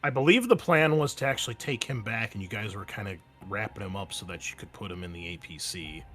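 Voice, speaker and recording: A man's voice: lowish voice, lively intonation, quick pace, pushed and bright, soft background music. A young man running a tabletop roleplaying game, recapping from his notes in an easygoing way with many fillers. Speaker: game master.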